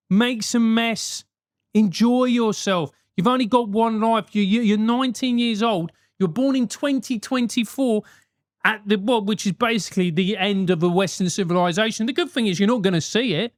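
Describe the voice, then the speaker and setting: A man's voice: sits high, normal speed, dry recording, studio quality. A man with a South London accent, a host giving advice in a casual, emphatic rant, swearing freely.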